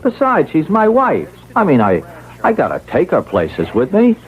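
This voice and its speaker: An actor playing an evasive, impatient small-time crook and husband in a radio mystery, talking in stammering, brusque, colloquial bursts. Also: male voice, fairly high pitch, wildly swooping pitch, normal speed. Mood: defensive. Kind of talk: radio mystery drama acting. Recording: tinny, band-limited old radio recording.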